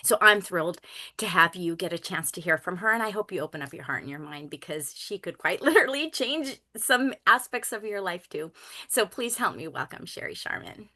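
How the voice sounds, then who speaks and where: A woman's voice: on the low side, animated, a little fast, sharp tone. A woman in her fifties, born in Finland, hosting a video call and introducing a guest with emphatic, gushing enthusiasm. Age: 50s. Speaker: call host.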